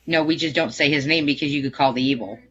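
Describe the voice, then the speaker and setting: Bright male panelist on dull lo-fi audio. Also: fairly high pitch, fast. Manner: chatty, builds on ideas with restarts. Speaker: podcast panelist. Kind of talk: casual panel discussion.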